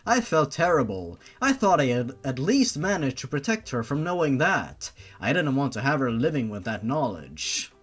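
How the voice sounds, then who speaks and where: Theatrical story reader, very animated intonation. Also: medium pitch, normal speed, few pauses, breathy edge. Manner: theatrical, sarcastic, sweary outbursts. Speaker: narrator and commentator. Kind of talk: dramatic fan fiction reading with mocking asides.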